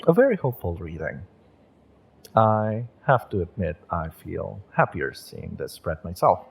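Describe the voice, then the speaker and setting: Man playing a low, unhurried, gentle witch fortune teller. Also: big pitch swings, gravelly, husky, full character voice. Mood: reassuring, hopeful. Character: kind, wistful male witch tarot reader and shopkeeper. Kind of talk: roleplay audio addressed to the listener.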